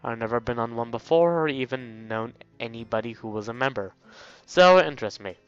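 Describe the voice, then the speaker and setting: A man's voice: medium pitch, animated, fairly quick, clear, resonant tone. Young man on a dull lo-fi mic, music behind. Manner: playful, stumbles over lines while reading. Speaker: let's play host. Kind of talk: reading a visual novel aloud with off-the-cuff commentary. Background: game music.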